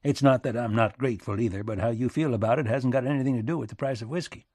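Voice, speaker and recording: A man's voice: mid-range voice, fast, darkish tone, dry recording, clean studio sound, close to an everyday voice. A man playing a weary, direct, impatient American president with a rustic accent in an audiobook. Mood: brisk, impatient. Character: Abraham Lincoln, tall weary American president, plain-spoken and brisk.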